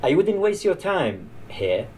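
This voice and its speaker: A man's voice: a little high, big pitch swings, slow pace, pitched above the performer's own voice. A man with a Kurdish accent voicing a harsh, discouraging disembodied voice, calling out dismissively in a novel reading. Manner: shouting, dismissive. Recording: live bar event, faint background noise.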